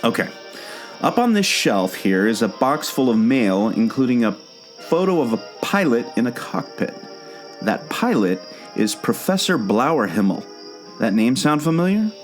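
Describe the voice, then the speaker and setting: A man's voice: medium pitch, very animated, unhurried, soft background music. A man playing an earnest, obsessive amateur sleuth and former jungle skipper in a scripted audio drama. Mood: purposeful. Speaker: podcast co-host.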